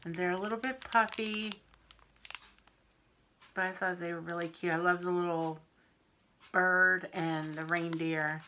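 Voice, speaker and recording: A middle-aged woman with a Pennsylvania accent talks slowly to the camera in her home kitchen, showing off bargain finds. Her voice is bright, a little wheezy, and broken by throat-clearing. A faint hiss sits under the recording. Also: fairly low pitch, steady tone, poor audio. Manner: chatty, unhurried, friendly show-and-tell. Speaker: craft haul vlogger. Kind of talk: casual haul video. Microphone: camera in front at arm's length.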